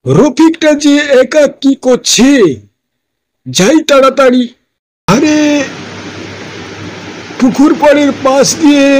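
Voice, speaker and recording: Sly pond watchman, male actor, cartoon villain: greedy, scheming, very high-pitched. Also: darkish tone, a little hiss or hum, poor audio. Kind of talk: cartoon dialogue.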